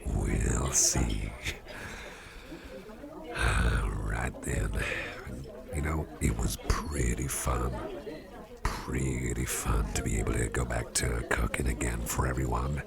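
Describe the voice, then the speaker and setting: A man playing a jovial, impish old devil boss in a soft, rambling, genial ASMR roleplay chat with a gravelly voice. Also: fairly even intonation, over loud music, exaggerated voice. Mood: musing, genial. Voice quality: gravelly, fry-laden deep voice. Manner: unhurried, rambling, genial, with repeated phrases. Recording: party crowd murmur behind voice. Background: party crowd chatter.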